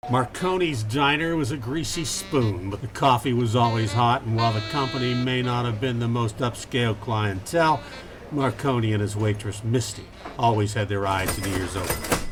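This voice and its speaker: Man playing a deadpan noir private eye over music. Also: medium pitch, lightly acted. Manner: deadpan, measured hard-boiled storytelling. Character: hard-boiled male private detective narrating his case, polite, world-weary. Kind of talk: campy film noir radio play narration. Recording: music behind the voice.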